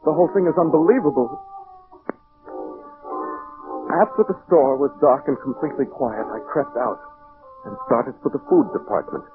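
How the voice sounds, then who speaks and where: A man's voice: a little high, big pitch swings, quick pace. An actor playing an intense fugitive poet reading his secret diary as a precise, theatrical old-time radio monologue. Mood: unnerved, suspenseful. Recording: muffled old radio recording with music behind.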